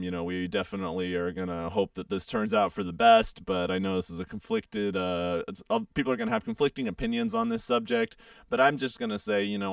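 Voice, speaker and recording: A man's voice: sits low, dry recording, studio quality, recorded from a distance. Froggy-voiced male radio host. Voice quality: froggy, gravelly. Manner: chatty, rambling with many fillers. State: hoarse, getting over a sore throat. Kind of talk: casual radio show chat.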